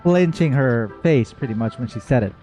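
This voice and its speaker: A man's voice: mid-range voice, lively intonation, moderate pace, dark tone. A man giving casual, playful gaming commentary with wry asides as he plays. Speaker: gaming streamer reacting to a story quest. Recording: slightly dull, faint game music.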